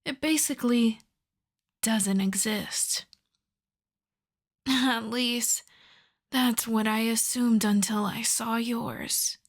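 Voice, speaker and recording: A woman voicing an obsessive, starved female vampire in an ASMR roleplay, speaking to the listener with breathy, possessive savoring. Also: a little high, fairly even intonation, slow pace, pauses often. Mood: confiding, possessive.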